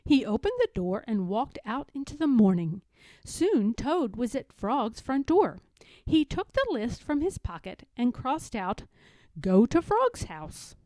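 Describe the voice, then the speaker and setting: A woman reads a children's story aloud in a high, mellow voice. Her delivery is deliberate and expressive, moving slowly through each sentence. The recording is close and dry. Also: dry recording. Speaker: storybook reader. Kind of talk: scripted children's story narration.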